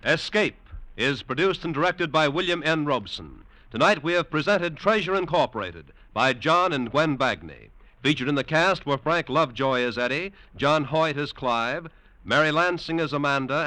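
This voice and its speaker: An American man announcing formally in scripted mid-century radio style, with measured, emphatic phrasing. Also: on the high side, lively intonation, sharp tone, no room sound, rough recording.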